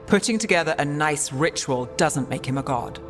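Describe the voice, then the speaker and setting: A woman playing a cool, sceptical detective mage in a fantasy video game, measured, dry and questioning. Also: low-pitched, lively intonation, music in the background. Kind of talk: video game cutscene dialogue.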